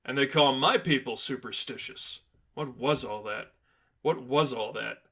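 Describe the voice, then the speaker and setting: A man's voice: mid-range voice, unhurried, pushed and bright, husky, full character voice. Man playing a young female vigilante, blunt, laconic, clipped phrases, superhero story reading. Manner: clipped, terse.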